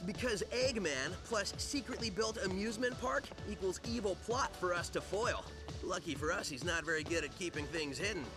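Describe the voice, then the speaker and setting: Cocky, high-voiced young hedgehog hero. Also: male voice, animated, normal speed, music in the background. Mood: amused, breezy. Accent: American. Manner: breezy, flippant, slangy.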